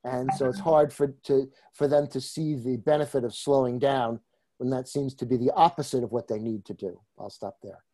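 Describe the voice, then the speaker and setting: A man with a New York accent, a trainer speaking in a group discussion, pausing often with fillers. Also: mid-range voice, fairly even intonation, mellow. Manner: hesitant, many filler pauses. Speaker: empathy circle trainer.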